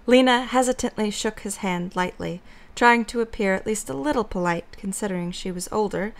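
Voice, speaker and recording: A woman narrates a story from a script. Her voice is of middling pitch, and she reads third-person prose as an audio drama narrator. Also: brisk, vocal fry.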